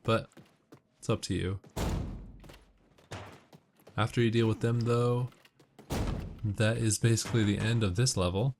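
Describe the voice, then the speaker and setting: A man's voice: fairly low pitch, steady tone, measured pace, pauses often, background noise. A man giving casual, matter-of-fact walkthrough commentary on a video game, explaining tips as he plays. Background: video game audio with music and gunfire.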